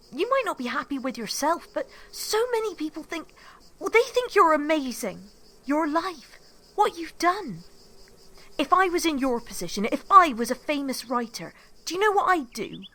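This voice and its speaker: An actress playing an earnest, passionate young dreamer in an audio drama. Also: female voice, high voice, big pitch swings, moderate pace, sharp tone, heavily acted. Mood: earnest admiration. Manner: breathless, earnest, intense. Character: starry-eyed, passionate young woman dreaming of fame. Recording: outdoor hiss with chirping insects.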